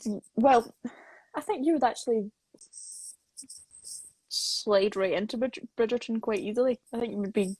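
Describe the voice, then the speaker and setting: A woman hosting a casual live interview, playful and encouraging, with a husky voice. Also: on the high side, moderate pace, long pauses, mellow, dry recording, low-quality recording. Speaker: host, associate producer.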